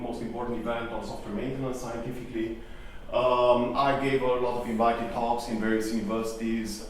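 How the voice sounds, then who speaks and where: A man's voice: on the low side, slight background noise. A middle-aged man giving a live conference talk, hesitant and full of ums and restarts. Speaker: conference speaker. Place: conference hall. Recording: echoey hall from far off.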